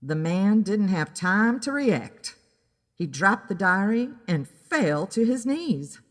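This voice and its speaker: A woman's voice: medium pitch, sing-song, takes it slowly. A woman narrating scripted pulp fiction in an audio drama, reading as a storyteller. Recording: long reverberant echo.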